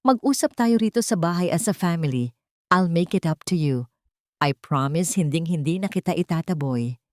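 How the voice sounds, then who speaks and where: A woman's voice: lowish voice, expressive, moderate pace, darkish tone, no room sound, clean studio sound, lightly acted. A woman voicing a manipulative rich younger woman in a storybook drama, coaxing and theatrical with hidden cruelty. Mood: sweet, tearful pleading.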